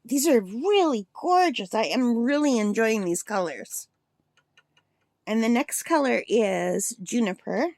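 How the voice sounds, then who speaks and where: A woman with a slightly gravelly voice chatting and gushing as she swatches paints in a casual demo at her craft table. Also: a little high, lively intonation, measured pace, a little mellow, no echo. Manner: chatty and gushing, thinks aloud. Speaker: art supply reviewer.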